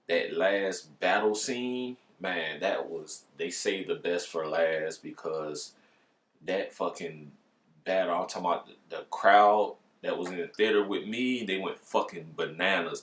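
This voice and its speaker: A man's voice: low voice, a bit bright. A man giving a casual, slangy movie review, swearing freely as he talks. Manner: profane, slangy and informal. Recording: roomy, echoey sound.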